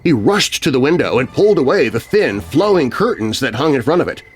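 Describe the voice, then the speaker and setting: A man's voice: medium pitch, sing-song, fairly quick, husky. A man narrating a scripted children's horror story. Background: music.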